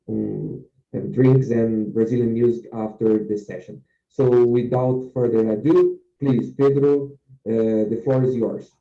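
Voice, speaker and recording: A man organizing a seminar, giving halting opening remarks on a video call. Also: mid-range voice, creaky, echoey room, dull audio. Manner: halting, searching for words. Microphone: laptop mic on a video call.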